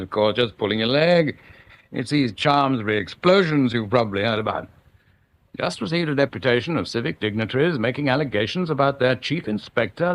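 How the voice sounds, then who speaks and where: Actor as hearty chief constable. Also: male voice, medium pitch, natural voice. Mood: hearty, breezy. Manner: hearty, clubby, old-boy breeziness. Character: jovial, snobbish upper-class male chief constable. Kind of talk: radio drama acting, one side of a phone call.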